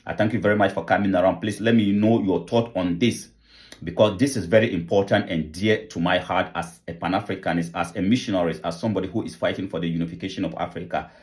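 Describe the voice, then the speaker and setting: Man, very low voice, earnest, preaching tone, channel host addressing viewers. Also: steady tone, quick pace, a little mellow. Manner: earnest, preaching, repetitive rhetorical questions. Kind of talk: vlog commentary, appeal to viewers.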